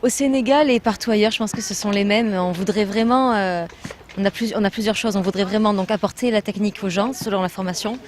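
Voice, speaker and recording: A woman's voice: medium pitch, fast talker, darkish tone. A woman from France, a trainer with a building foundation, answering interview questions in an earnest, explanatory way with frequent hesitations.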